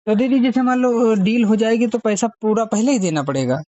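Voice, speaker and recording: A man's voice: high voice, fairly quick, dark tone, no room sound, lo-fi sound. A man with an Uttar Pradesh Hindi accent, talking casually and agreeably in a recorded phone conversation. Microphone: phone call.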